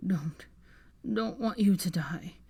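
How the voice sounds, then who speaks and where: A woman's voice: fairly low pitch, takes it slowly, vocal fry, mellow, breathy edge, exaggerated voice. A woman voicing a feverish, dying young man who slurs and whispers in broken phrases. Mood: delirious. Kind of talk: audiobook fan fiction reading.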